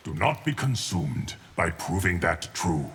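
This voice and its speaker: Geras, a deep, solemn eternal guardian. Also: male voice, big pitch swings, a bit bright, in a large hall, slight background noise, exaggerated voice. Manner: measured, stoic, grave. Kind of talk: fighting game character banter.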